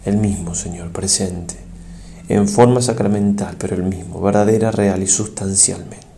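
A man, a priest, leads a guided prayer meditation in a solemn, reverent and measured way, pausing between short phrases. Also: deep voice, steady tone, gravelly, dark tone, a little hiss or hum.

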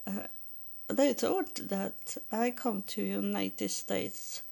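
A woman with a Swedish accent tells her story in English, slowly and haltingly, stopping often to search for words. Her voice has a gravelly, creaky edge at the end of phrases. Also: mid-range voice, warm tone. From Sweden. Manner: halting, many fillers and restarts. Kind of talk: personal testimony.

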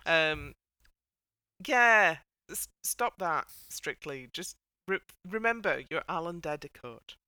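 A woman's voice: low voice, expressive, takes it slowly, pushed and bright, clear, resonant tone, no room sound, clean studio sound. A woman hosting a casual panel chat in a wry, playful way. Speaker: podcast host.

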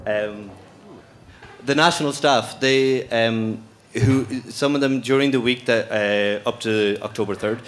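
A man, a pediatrician and former medical coordinator, answering a question at a panel Q&A, halting and emotional. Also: medium pitch, fairly even intonation. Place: conference room with audience. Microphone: handheld microphone. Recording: echoey room from far off.

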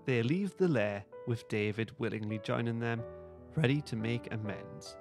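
A man's voice: mid-range voice, expressive, normal speed, over music. A man hosting a podcast, reading script narration aloud in an amused way. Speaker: podcast host.

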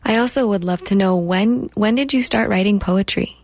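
A woman radio host interviewing a guest in a deliberate, appreciative way. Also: mid-range voice, a little mellow, no room sound.

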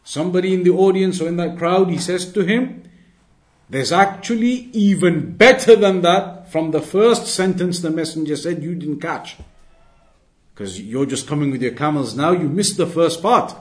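A man's voice: fairly high pitch, normal speed. A man giving an Islamic lesson as a religious teacher, reciting and explaining narrations emphatically, often repeating phrases for clarity.

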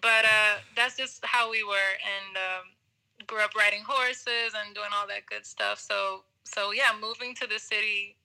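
A woman's voice: medium pitch, unhurried, rough recording. A young woman from Puerto Rico, a musician, chatting in an interview and laughing easily as she tells stories. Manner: relaxed, laughs often, talks with fillers. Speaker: musician guest. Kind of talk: casual interview conversation.

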